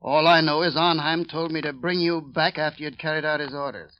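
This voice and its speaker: A man's voice: fairly high pitch, fairly even intonation, brisk, close to an everyday voice. An actor playing a cold, bullying gangster henchman, threatening in a clipped tough-guy delivery. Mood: menacing. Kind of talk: 1940s radio acting. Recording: dull old radio recording.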